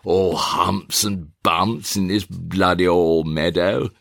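A man voicing a gruff, blustering older country squire who chuckles to himself, in a one-man reading of a stage play. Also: low-pitched, fairly even intonation, takes it slowly, a bit bright, no echo, clean studio sound, heavily acted.

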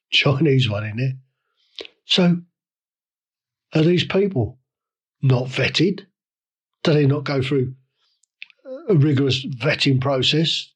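A man hosting a solo podcast, ranting with indignant rhetorical questions and halting restarts, his voice a little croaky from a cold. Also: medium pitch, poor audio. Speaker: podcast host. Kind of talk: solo opinion rant.

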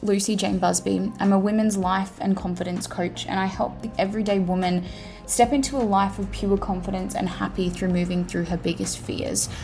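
A woman's voice: medium pitch, creaky. A woman with an Australian accent hosting her podcast, chatting casually, swearing freely and stacking repeated phrases. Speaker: podcast host and life coach. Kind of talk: casual solo podcast monologue. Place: home room. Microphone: simple home setup.

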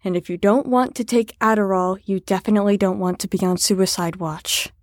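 A woman acting a firm, measured care worker questioning a patient in an audio drama. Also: medium pitch, fairly even intonation, dry recording, studio quality, natural voice. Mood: stern, warning.

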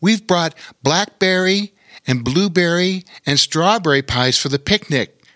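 Man doing an excited, high-pitched young girl. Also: a little slow, dry recording, clean studio sound. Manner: eager. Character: excited, friendly girl arriving with pies.

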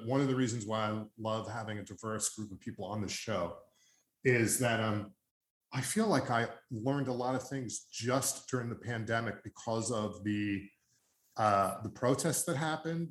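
A man's voice: on the low side, echoey room. An older man from Long Island hosting a casual interview, talking in an earnest, rambling way that often corrects itself. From Long Island, New York. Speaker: podcast host.

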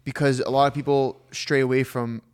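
Relaxed swearing podcast host, a man. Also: mid-range voice, brisk. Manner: relaxed, profane, affirming. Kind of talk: casual podcast chat.

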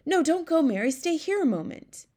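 A woman's voice: high voice, sing-song, normal speed, mellow, pitched above the performer's own voice. A woman voicing a regal, commanding queen in a storybook, exclamatory and aristocratic in her phrasing. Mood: earnest. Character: well-known queen, regal, commanding, easily horrified older woman. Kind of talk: storybook character voice.

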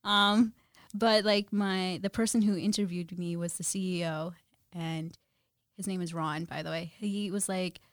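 A woman's voice: fairly low pitch, steady tone, unhurried, no echo, studio quality. A woman in her twenties from Guyana, a podcast guest talking in a bubbly, casual way and laughing often. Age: 20s. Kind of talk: casual interview chat.

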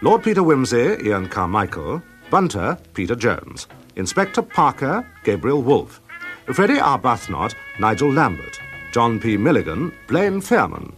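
A man's voice: medium pitch, wildly swooping pitch, a little slow, fairly bright tone, soft background music. A man with a British accent formally reading scripted credits as a radio announcer.

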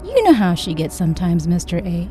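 A woman's voice: on the low side, wildly swooping pitch, brisk, warm tone, soft background music. A woman voicing a careful, loyal young woman in an audiobook, politely explaining and reassuring.

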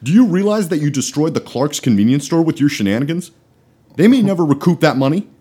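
A man playing a gruff, blunt middle-aged father in a comedy sketch, grumbling and scolding. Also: fairly high pitch, animated, quick pace, dark tone, voice pushed up. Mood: angry scolding.

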